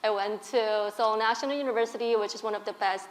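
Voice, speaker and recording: A woman from Korea, a guest on stage, tells her personal story openly and earnestly with soft laughs. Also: medium pitch, steady tone, fast talker, roomy sound, low-quality recording. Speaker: guest speaker.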